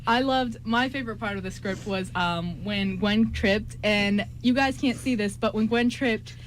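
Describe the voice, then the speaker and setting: A woman's voice: medium pitch, a little slow, slight background noise, rough recording. A woman hosting a casual radio chat in a giggly, enthusiastic, effusive way. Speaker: radio show host. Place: radio show.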